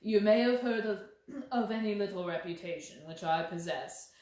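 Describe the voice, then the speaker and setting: A woman voicing a gentleman detective who is calm, courteous and firm, with a measured, grave and gently insistent manner. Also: mid-range voice, voice pushed down, natural voice. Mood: stern. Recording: very echoey room.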